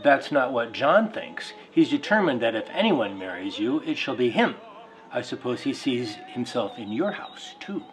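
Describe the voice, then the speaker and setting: Fussy bachelor played by a man, very animated. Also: mid-range voice, moderate pace, soft background music, close to an everyday voice, poor audio. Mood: pointed. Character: Mervyn, a fussy, practical bachelor suitor.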